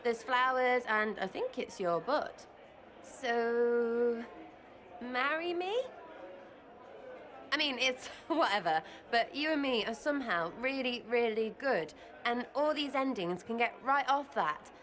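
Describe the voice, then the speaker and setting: A woman's voice: fairly high pitch, lively intonation, takes it slowly, fairly bright tone. An actress playing a brash, playful young woman in a video game cutscene, rambling with crude slang and giggles. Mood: nervous.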